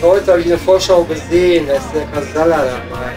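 A man's voice: fairly high pitch, fairly quick, music in the background, natural voice. A man playing a gossipy, mocking coal miner with a Ruhr accent in an improvised comedy audio play. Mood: musing, chatty. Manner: colloquial, grumbling, mocking.

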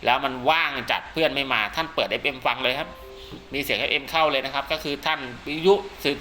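A man's voice: mid-range voice, fast, faint music behind. A man giving a casual product demonstration as a seller, rambling and repeating himself with frequent polite tags. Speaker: radio seller and channel host presenting products. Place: at home during heavy rain. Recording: loud rain noise behind the voice. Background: heavy rain.

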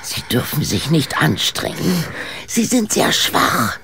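A woman's voice: sits low, very animated, slow, vocal fry, a bit bright, slight background noise, exaggerated voice. An actress in a radio drama playing a level-headed woman sleuth, dry, wry and decisive. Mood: grave, composed.

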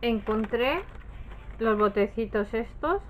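Slow-paced Spanish woman chatting, slightly noisy recording. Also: a little high, lively intonation. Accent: Spanish from Spain. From Spain. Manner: rambling, often trailing off and self-correcting. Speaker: craft haul vlogger. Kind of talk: casual chat, showing purchases to camera.

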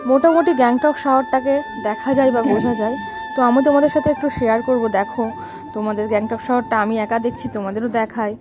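A woman's voice: on the high side, steady tone, fast. A woman in her thirties vlogging a family trip, talking casually to her phone camera held at arm's length. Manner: chatty, tired and complaining in the morning. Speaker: travel vlogger. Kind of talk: casual travel vlog narration. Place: hotel room, then outdoors on a trip. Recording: background music under voice. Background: music.

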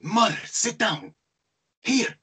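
A man's voice: high-pitched, slow pace, slightly rough, exaggerated voice. A man playing an exasperated adult son who tells of his boyhood love of movies in a theatrical screenplay reading. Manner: expressive, theatrical storytelling. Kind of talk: screenplay table read, dramatic monologue. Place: indoors on a group video call.

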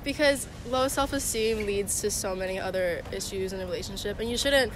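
A woman's voice: medium pitch, brisk. A young woman answering a street interview outdoors in a firm, decided way. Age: young adult. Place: outdoors on a campus walkway. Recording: outdoor noise behind.

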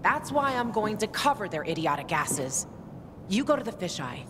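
A woman's voice: big pitch swings, moderate pace, natural voice. An actress playing a tough, loyal young woman fighter in a video game, blunt and sardonic in manner. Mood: resolute. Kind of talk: dramatic video game acting. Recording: reverberant space with noisy ambient rumble.

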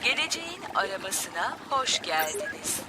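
A woman's voice: medium pitch, big pitch swings, normal speed, heavy background noise, natural voice. A woman voicing a polite talking camper-van navigation computer in a children's cartoon dub. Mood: polite, instructive.

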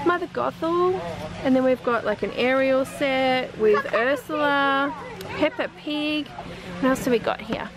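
Chatty, excitable Australian woman vlogging. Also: high voice, rough recording. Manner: chatty, excitable, quick to laugh. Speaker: family vlogger. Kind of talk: casual vlog narration while shopping. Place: large warehouse store indoors. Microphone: handheld camera.

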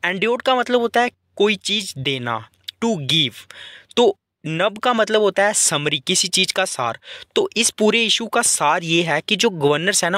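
A man with a bright, clear and slightly high voice teaches from a newspaper editorial. He reads each English line slowly and carefully, then explains it in Hindi. The sound is dry and close, with no room around it. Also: no room sound.

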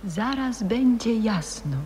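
A calm, uncanny old friend. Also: female voice, on the high side. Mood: solemn. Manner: calm, slow and solemn. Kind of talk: 1960s radio play acting. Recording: old radio play with long reverb.

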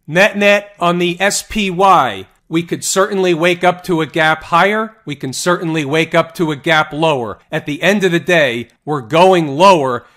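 A man giving an energetic voice-over walkthrough of stock charts, asking rhetorical questions as he teaches. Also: on the high side. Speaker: trading educator. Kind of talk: stock market chart analysis. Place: voice-over on screen recording.

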